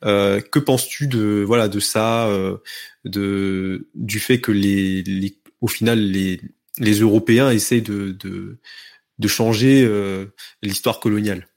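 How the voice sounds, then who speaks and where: A man's voice: on the low side, fairly even intonation, takes it slowly, gravelly. A man leading a casual discussion, asking questions hesitantly with frequent restarts. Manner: hesitant, many restarts and fillers. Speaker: discussion host.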